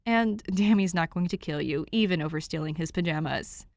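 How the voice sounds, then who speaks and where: A woman's voice: fairly low pitch, moderate pace, darkish tone, no echo, close to an everyday voice. Woman voicing easygoing, protective big brother. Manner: casual, reassuring.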